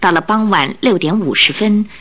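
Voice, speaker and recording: A woman's voice: mid-range voice, moderate pace, a bit bright. A woman narrator telling a story in a scripted radio drama style. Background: music.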